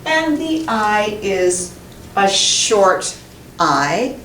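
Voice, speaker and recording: A middle-aged American woman giving a deliberate, emphatic pronunciation lesson, carefully enunciating sounds and words. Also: medium pitch, big pitch swings, a bit bright. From United States. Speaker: English pronunciation teacher. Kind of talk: instructional pronunciation lesson to camera. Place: small classroom with whiteboard, indoors. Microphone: camera mic at a distance. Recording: roomy, distant camera mic, faint noise.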